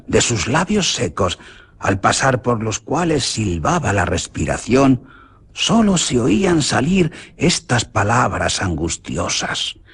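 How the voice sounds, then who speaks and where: A man's voice: big pitch swings, takes it slowly, a bit bright, breathy edge. A man narrating a radio horror drama in a theatrical, dramatic way. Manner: theatrical, with big swings in pitch. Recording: noisy radio drama recording.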